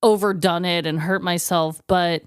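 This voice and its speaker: A woman's voice: on the low side, fairly even intonation, normal speed, smooth, no echo, clean studio sound. A female podcast co-host chatting casually and playfully. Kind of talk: casual conversational chat.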